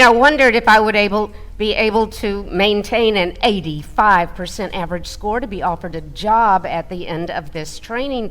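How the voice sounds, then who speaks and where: Woman storyteller, medium pitch, from the United States, dramatic first-person narration, echoing hall reverb. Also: a bit bright.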